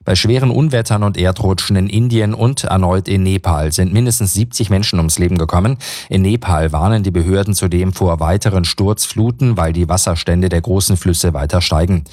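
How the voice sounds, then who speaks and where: A very deep male voice reads the news with calm, formal precision. The studio sound is close and completely dry. Nothing plays behind him. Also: no echo. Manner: calm, measured, formal delivery. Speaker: newsreader. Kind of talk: radio news reading.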